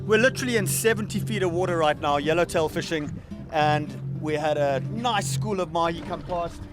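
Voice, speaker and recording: Austrian-accented man, fairly high voice. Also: over music. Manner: enthusiastic, quick exclamations. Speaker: fishing show host. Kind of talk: excited on-camera fishing commentary. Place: on a boat offshore, outdoors.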